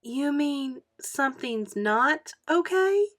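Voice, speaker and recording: A woman reader voicing an affectionate female schoolteacher in a storybook, cooing fondly with drawn-out words. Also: high voice, animated, voice pushed up. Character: affectionate, gushing woman schoolteacher doting on former pupil.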